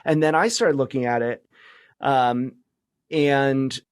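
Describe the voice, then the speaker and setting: A man's voice: medium pitch, takes it slowly, clean tone, no echo, studio quality. A middle-aged American man chatting casually as a podcast guest, reflective and loosely rambling. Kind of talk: casual conversational interview chat.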